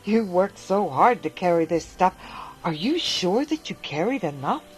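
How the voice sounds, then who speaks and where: A woman's voice: lowish voice, very animated, normal speed, soft background music, poor audio. A woman voicing a man's anxious inner voice, urgently warning him to hold on to his food. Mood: fretful. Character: anxious inner voice of a hoarding man.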